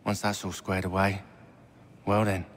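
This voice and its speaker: An actor playing a gloating, mocking lowborn conspirator in a video game, with a sardonic, taunting manner. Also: male voice, flat delivery, a little slow, a little hiss or hum. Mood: smug menace. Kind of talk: video game cutscene acting.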